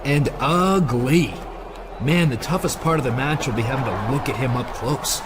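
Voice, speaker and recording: A man's voice: medium pitch, moderate pace, natural voice. Man as cocky, sneering wrestling villain, noisy audio. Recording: noisy game cutscene audio.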